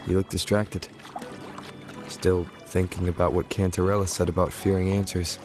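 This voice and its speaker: A man plays a calm, attentive fantasy game hero with a deep young voice. His delivery is brief and low-key, over background music. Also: moderate pace, lightly acted. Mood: calm, concerned. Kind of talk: scripted game dialogue.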